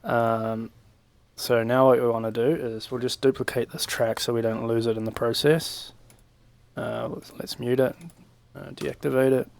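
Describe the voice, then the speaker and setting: A young man giving a casual, informal software tutorial, talking through each step in a laid-back, slangy way at a desk microphone. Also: medium pitch, flat delivery, normal speed, gravelly. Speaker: music production tutorial presenter. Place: small home room, indoors. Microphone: desk microphone on a boom arm.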